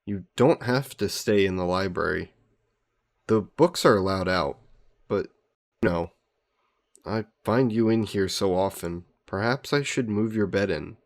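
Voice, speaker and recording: A man playing an old aristocratic vampire in a romantic audio roleplay, speaking in formal, genteel, measured phrases. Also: deep voice. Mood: gently teasing. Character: ancient aristocratic male vampire, courtly, protective, gently teasing.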